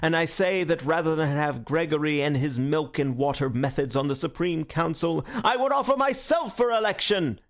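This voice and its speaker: Man acting a high, thundering rebel orator. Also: normal speed, hardly any pauses, close to an everyday voice. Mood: defiant. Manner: declamatory, thundering rhetoric. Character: Syme, fiery defiant young male orator, pitiless and thunderous.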